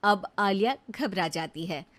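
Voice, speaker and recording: A woman giving scripted storytelling narration for an animated moral story. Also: medium pitch, measured pace. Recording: music under the voice.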